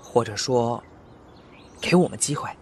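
Lively, coaxing young male suitor played by man. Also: medium pitch, unhurried, slight background noise. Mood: teasing, coaxing. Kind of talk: audio drama acting.

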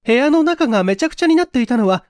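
A woman's voice: high voice, lively intonation, very fast, darkish tone, no echo, clean studio sound. A woman voicing an anxious, genteel young single woman, polite and flustered, in a dramatic audiobook reading.

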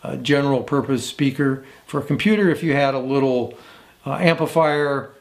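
A man's voice: medium pitch, normal speed. A man in his sixties hosting a casual, unscripted product review in a matter-of-fact way. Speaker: host of vintage audio review.

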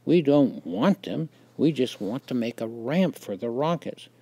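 A man's voice: mid-range voice, animated, unhurried, dark tone, husky, voice pushed up. A man voicing a pushy older boy in a story, insistently coaxing a younger child.

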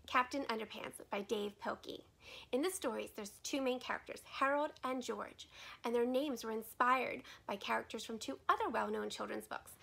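A woman's voice: fairly high pitch, vocal fry, sharp tone. A woman in her forties presenting a trivia question to camera as a librarian, recorded at home. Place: indoor sunroom at home.

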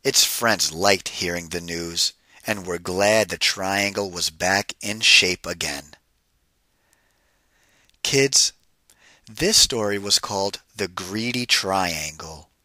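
Very bright-voiced man reading to children. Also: low-pitched, no room sound, clean studio sound. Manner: deliberate, emphatic, addressing children directly. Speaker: read-aloud narrator and teacher. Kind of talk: picture-book read-aloud for children with questions.

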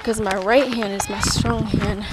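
A woman's voice: medium pitch, fairly even intonation, unhurried. A young woman chatting casually and bluntly, thinking aloud as she vlogs outdoors on a hillside trail with a handheld camera. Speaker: vlogger. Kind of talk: casual outdoor vlog chat to camera and children. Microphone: handheld camera at arm's length. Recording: loud wind and outdoor handling noise.

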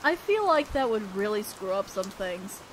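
A woman playing an awkward, earnest teenage girl student council president in a romantic audio roleplay, halting and bashful as she talks. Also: mid-range voice, big pitch swings, slight background noise, lightly acted, low-quality recording. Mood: unsure, vulnerable. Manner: halting, bashful, breaking off mid-sentence. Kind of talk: romantic audio roleplay acting, one-sided dialogue. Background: rain sounds.

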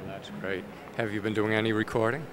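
An older man with a lowish voice hosts the show and asks his questions haltingly, stumbling over small words. He holds a handheld mic at a convention, with steady crowd noise behind. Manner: hesitant, with repeated filler words. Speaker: host. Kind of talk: interviewer. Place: convention hall interview booth. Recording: noisy convention hall.

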